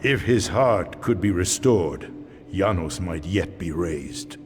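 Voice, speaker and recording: Ancient vampire elder, deep and slow. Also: male voice, expressive. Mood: grave, solemn. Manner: solemn, portentous, measured delivery. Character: ancient weary vampire swordsmith, grave male elder. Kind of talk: dramatic video game cutscene acting. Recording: music behind the voice.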